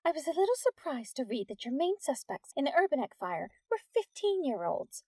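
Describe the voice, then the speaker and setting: A woman's voice: very high-pitched, fairly quick, a little mellow, no room sound, pitched above the performer's own voice. A woman voicing a flighty, indignant female schoolteacher with a lilting, musical voice in an audiobook story. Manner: curt when challenging.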